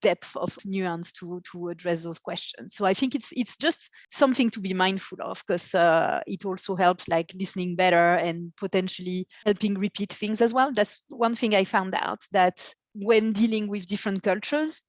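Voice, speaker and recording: Woman, mid-pitched voice, very dry recording, podcast guest, conversational explaining. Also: moderate pace, few pauses, no room sound. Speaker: podcast guest, marketing executive. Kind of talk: conversational interview answers.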